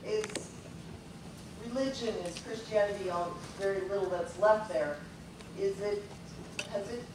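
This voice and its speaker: A woman's voice: medium pitch, measured pace. A woman in the audience asking a question, hesitant and searching for words. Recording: echoey hall, distant mic, noisy.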